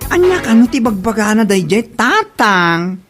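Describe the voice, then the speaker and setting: A woman's voice: medium pitch, very animated, moderate pace, music in the background, natural voice. A woman acting a concerned, indignant daughter with an Ilocano accent in a comedy drama, speaking expressively. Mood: puzzled concern. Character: concerned, indignant daughter standing up for her father.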